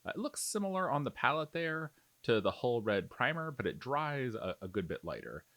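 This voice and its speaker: A man's voice: mid-range voice, sing-song, normal speed, a bit bright, no room sound. A man giving a casual, explanatory step-by-step tutorial voice-over in an informal way. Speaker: miniature painting tutorial host.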